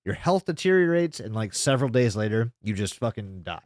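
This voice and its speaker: A man's voice: lowish voice, very animated, a little fast, a little mellow, dry recording, studio quality. A man co-hosting a podcast in casual chat, informal and emphatic, with plenty of swearing. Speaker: podcast co-host. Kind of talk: casual chat recapping a web horror series.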